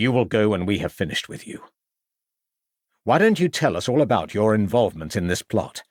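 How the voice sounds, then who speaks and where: A man's voice: fairly low pitch, big pitch swings, moderate pace, no echo, studio quality. A man voicing a stern, persistent police interrogator who fires off accusing questions. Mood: interrogating. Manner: hectoring questions. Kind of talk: audiobook character voice.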